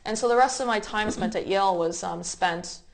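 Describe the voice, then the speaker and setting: A woman's voice: medium pitch, normal speed, creaky, low-quality recording. A young woman in her twenties from the United States, telling personal stories in a thoughtful, explanatory way as an interview guest. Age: 20s. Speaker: interview guest, refugee resettlement advocate. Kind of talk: reflective personal storytelling in conversation.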